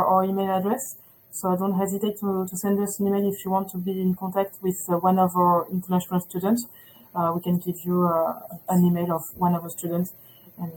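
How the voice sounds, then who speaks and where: Brief: woman, hesitant school rep, mid-pitched, filler-heavy webinar English. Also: darkish tone, a little hiss or hum, low-quality recording. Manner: hesitant, many fillers, non-native English. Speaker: school representative. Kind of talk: webinar presentation.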